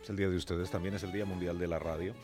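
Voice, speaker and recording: A man's voice: sits low, fairly even intonation, very fast. A man presenting live morning radio, telling a story with rhetorical questions and emphatic repetitions. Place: radio broadcast. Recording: music bed behind voice.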